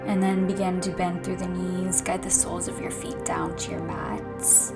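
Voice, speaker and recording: A woman yoga instructor leading a gentle guided meditation in a soothing, hushed, unhurried way, with a soft voice that turns breathy at times. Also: on the low side, sing-song. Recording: soft music behind the voice. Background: music.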